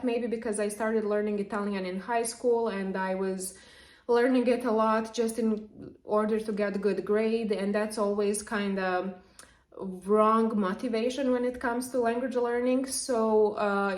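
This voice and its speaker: A young woman from Serbia, a native Serbian speaker, explaining language learning to camera in a lively, chatty way. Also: on the high side. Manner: lively, explanatory, with frequent fillers. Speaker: language vlogger. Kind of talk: casual talk to camera. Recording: echoey room.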